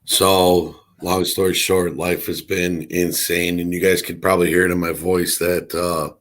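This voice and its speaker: A middle-aged man with a raspy, hoarse voice from allergies, chatting casually in a rambling way with many fillers. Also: low-pitched, little pitch movement, a little slow. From Chicago area. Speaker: co-host. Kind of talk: casual livestream chat.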